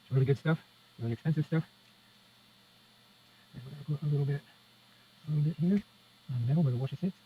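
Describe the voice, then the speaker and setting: A man's voice: a little high, quick pace, long pauses. A man giving a casual hands-on repair demonstration, muttering step by step as he works. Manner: muttering step-by-step commentary to himself and viewer. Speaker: presenter of a radio repair how-to. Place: indoors at a repair workbench. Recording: dull lo-fi sound with faint noise.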